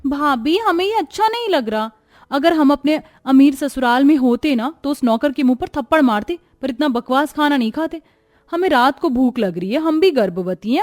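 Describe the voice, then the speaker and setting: A woman acts out a haughty, pampered young sister-in-law in a cartoon family story. The voice is high, whiny and entitled, always wheedling or ordering. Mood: haughty, demanding. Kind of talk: acted Hindi cartoon moral story.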